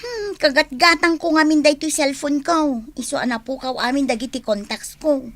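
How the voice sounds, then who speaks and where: A woman's voice: sits high, normal speed, a bit bright, no echo. A woman acting a vain, defiant young phone lover in a radio drama, flippant and pouty with an Ilocano accent. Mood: chatty.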